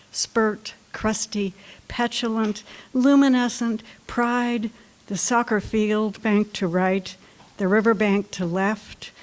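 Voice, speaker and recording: A woman's voice: mid-range voice, rough recording. Woman reading her poems aloud. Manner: light voice, unhurried and deliberate. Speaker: poet reading her work. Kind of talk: poetry reading. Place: library event room.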